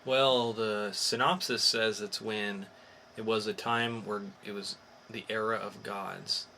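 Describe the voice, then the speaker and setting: A man's voice: fairly low pitch, steady tone, slow pace, vocal fry, pushed and bright, slight background noise. A man co-hosting a podcast, giving an off-the-cuff review in a hesitant, hedging way, rephrasing as he goes. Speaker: podcast co-host reviewing comics. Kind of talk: casual, unscripted review chat.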